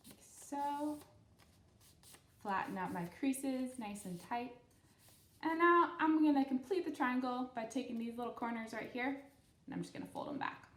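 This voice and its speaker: A woman's voice: high voice, normal speed. Echoey-room woman hosting kids' storytime. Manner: simple, encouraging explanations addressed to children. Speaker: children's storytime host and craft instructor. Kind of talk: read-aloud story and step-by-step craft instruction for kids. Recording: roomy, echoey room sound.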